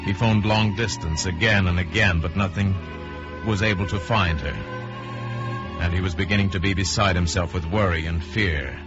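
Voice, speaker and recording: A man's voice: low-pitched, moderate pace. A man giving dramatic scripted narration for an old-time radio drama. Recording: dull vintage radio recording, music behind.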